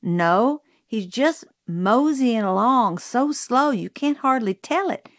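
Woman voicing an earnest Southern boy, high-pitched. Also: animated, slow, no echo, studio quality. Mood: earnest, worried. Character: earnest Southern boy, older brother, worried and stubborn. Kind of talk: audiobook character voice.

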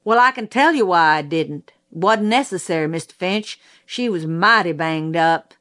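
A woman's voice: on the low side, animated, moderate pace, a bit bright. Woman voicing a folksy, plainspoken country sheriff. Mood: plainspoken, matter-of-fact. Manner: folksy, colloquial, clipped rural phrasing. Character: folksy male country sheriff giving plain, stubborn testimony. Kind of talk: audiobook character voice.

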